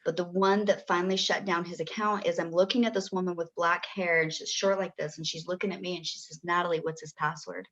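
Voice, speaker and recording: A woman guest on a podcast, telling stories in excitable, gushing bursts with casual slang. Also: on the low side, quick pace, few pauses, dull audio. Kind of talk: casual spiritual chat.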